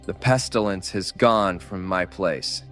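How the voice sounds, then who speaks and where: A proud, hardy medieval survivor played by a man, boastful and sure of himself. His voice is deep, with faint music underneath, in a historical audio drama. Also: takes it slowly, fairly bright tone. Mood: proud insistence. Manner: boastful, assured.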